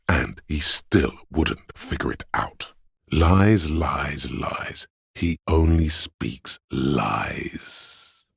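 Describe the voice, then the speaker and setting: A very deep, gravelly theatrical courtier. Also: male voice, fairly bright tone, dry recording, studio quality, full character voice. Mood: suspicious. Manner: theatrical, courtly, archly dramatic. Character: flamboyant, sly male inner voice of drama, servile courtier. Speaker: game voice actor. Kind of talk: theatrical video game character lines.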